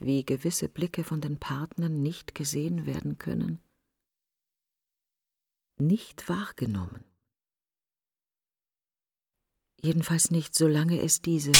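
A woman's voice: low voice, vocal fry, darkish tone, a little hiss or hum, exaggerated voice. An actress playing a middle-aged, bitter woman mourning her best friend in an intimate, brooding radio drama monologue. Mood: suspicious brooding.

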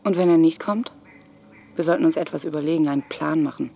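A woman's voice: low-pitched, fast talker, creaky, mellow. An actress playing a wary, probing woman in an audio drama, given to pointed questions. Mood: wary, concerned. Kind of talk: German audio drama acting.

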